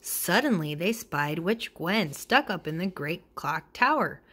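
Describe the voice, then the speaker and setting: A woman reading a children's picture book aloud in an expressive way, acting out the dialogue. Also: on the low side, a little slow, husky. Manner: expressive, acts out character dialogue. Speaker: storybook reader.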